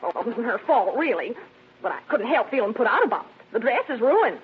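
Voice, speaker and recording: An actress playing a plain-spoken, stubborn country housewife in an old radio drama, folksy and complaining. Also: female voice, fairly high pitch, very animated, a little fast, slightly rough, heavily acted. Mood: put out, wary. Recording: dull, noisy vintage radio recording.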